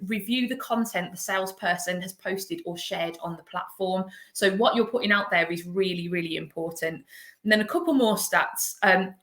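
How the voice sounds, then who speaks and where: A woman's voice: medium pitch, fairly bright tone, echoey room. A woman with a Birmingham accent hosting a live video interview, chatty, laughing easily and using many fillers. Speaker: host, agency director. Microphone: video call.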